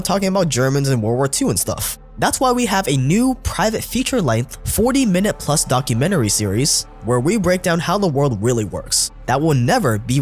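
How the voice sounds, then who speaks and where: Man, scripted documentary narration, wry, slightly sick voice, higher pitch, faint music behind. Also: breathy edge. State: sounds sick.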